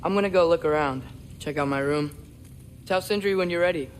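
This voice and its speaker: A man's voice: a little high, fairly quick, slight background noise, lightly acted. Man playing earnest, curious teenage boy. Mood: casual. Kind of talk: video game character acting.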